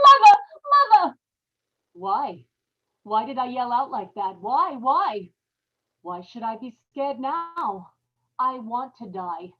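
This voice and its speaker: Woman acting a bitter haunted murderess wife, old radio horror play, anguished, highish voice, kinda muffled audio. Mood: terrified, then despairing. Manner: dramatic, anguished monologue. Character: tormented bitter young wife confessing to murder.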